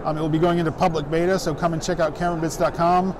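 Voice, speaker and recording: A middle-aged man at a trade show booth explaining his company's photo software, talking steadily with frequent "um" fillers. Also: fairly high pitch, level tone. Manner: brisk explaining, many "um" fillers. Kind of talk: trade show product pitch. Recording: noisy trade show floor. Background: crowd chatter of the show floor.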